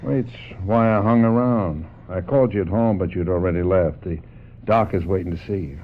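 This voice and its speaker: A man acts as a protective older family friend with a low voice. He talks bluntly and plainly in radio mystery style. The sound is dull, with faint noise behind him. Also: brisk, natural voice. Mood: awkward, concerned. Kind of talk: dramatic radio mystery acting.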